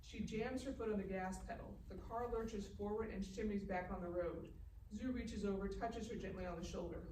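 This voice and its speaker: A woman's voice: mid-range voice, fairly even intonation, normal speed. A woman reading stage directions as scripted narration at a live table read. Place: live festival event room. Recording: echoey, distant, noisy live room.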